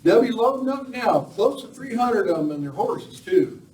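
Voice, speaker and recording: Man as an eager high-voiced railroad hand. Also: very animated, moderate pace, a little mellow. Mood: eager, bustling. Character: eager folksy train fireman, bustling. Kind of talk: amateur old-time western radio play acting. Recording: roomy distant live recording with noise.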